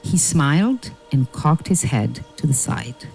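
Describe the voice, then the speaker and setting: A man narrating a fairy tale from a script in a measured, dramatic, deliberate way. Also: a little high, very animated, gravelly, faint music behind, rough recording.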